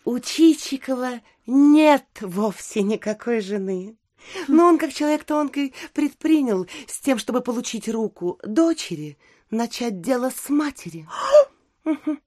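A woman's voice: fairly high pitch, expressive, unhurried, darkish tone. An actress playing a knowing provincial society lady in an audio drama, insistently correcting gossip and confiding dramatically. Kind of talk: classic literary audio drama acting.

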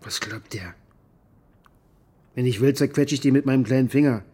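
Male, actor as boastful aggressive uncle for radio drama: husky, coarse, contemptuous, taunting. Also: medium pitch, moderate pace, warm tone, natural voice. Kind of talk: naturalistic radio drama monologue.

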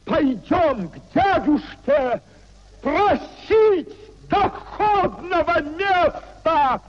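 A man's voice: very high voice, animated, exaggerated voice. An actor playing an anguished young idealist husband giving up his principles, theatrical and emotional, in a 1950s radio play. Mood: bitter despair. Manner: theatrical, emotional, with drawn-out repetitions. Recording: dull 1950s radio drama recording.

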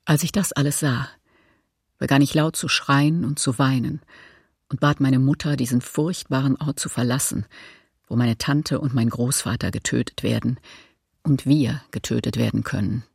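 An actor voicing a shaken survivor recalling childhood in a documentary radio drama, husky, creaky, grave and restrained. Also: male voice, medium pitch, fairly even intonation, normal speed, no echo, studio quality. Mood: grave, haunted.

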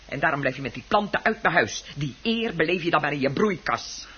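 An actress playing a scornful, nagging middle-aged wife in a Dutch radio play, sarcastic and cutting. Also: female voice, sits low, expressive, fairly quick, fairly bright tone, a little hiss or hum. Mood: scolding. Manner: sarcastic, cutting, mocking repetition. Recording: muffled, lo-fi old radio recording.

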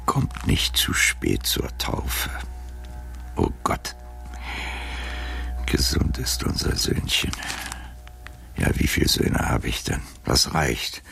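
An actor playing a bitter, proud old libertine in a literary radio drama, declaiming in a gravelly voice that moves between whispery asides and outcries. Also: male voice, extremely low pitch, wildly swooping pitch, takes it slowly, long pauses, sharp tone, faint music behind, heavily acted. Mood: wry, musing.

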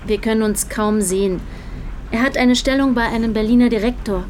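Casting: woman as reserved young typist with quiet defiance, higher voice, faint background noise, radio play. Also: fairly quick, lightly acted. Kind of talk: naturalistic radio drama dialogue.

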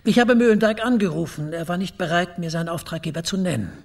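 Male former politician, radio play: pompous, clipped, indignant, high husky voice. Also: quick pace. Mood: indignant, haughty. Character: indignant, haughty male former government minister. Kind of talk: naturalistic radio play acting.

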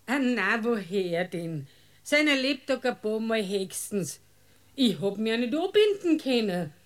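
A woman's voice: a little high, sing-song, measured pace. A woman playing an indignant, scolding old farmer's wife with a rustic Bavarian dialect in a comic radio drama. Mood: defensive.

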